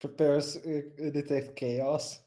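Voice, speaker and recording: Mellow man in casual game chat. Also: fairly high pitch, little pitch movement, takes it slowly. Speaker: tabletop role-playing player.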